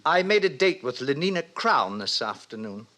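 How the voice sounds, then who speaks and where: A man playing a brisk, pompous, self-satisfied director in a 1950s radio drama. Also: mid-range voice, big pitch swings, pushed and bright. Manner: pompous, commanding lecturer. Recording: vintage radio recording.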